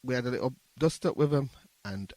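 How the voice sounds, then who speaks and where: A man's voice: mid-range voice, expressive, fairly quick, darkish tone, no room sound. A man in his fifties with a Birmingham accent, telling anecdotes casually as an interview guest. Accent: Birmingham (Brummie). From Birmingham, England. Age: 50s.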